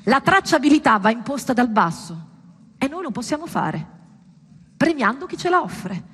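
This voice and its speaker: Female stage performer, higher register, ironic dramatic monologue delivered live in an echoing hall. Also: big pitch swings, normal speed, fairly bright tone, breathy edge. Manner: dramatic, ironic, direct address with rhetorical questions. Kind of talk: theatrical monologue addressed to a live audience. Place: indoor performance space, live. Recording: reverberant hall with background noise.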